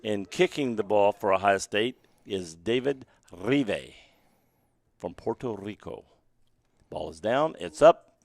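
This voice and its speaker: A hoarse man from the American Midwest with a Midwestern accent, calling amateur college football play-by-play at a stadium, loud and excited on big plays and joking between them. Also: lowish voice, slow, no room sound. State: losing his voice, hoarse. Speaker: play-by-play announcer. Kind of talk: amateur live football commentary.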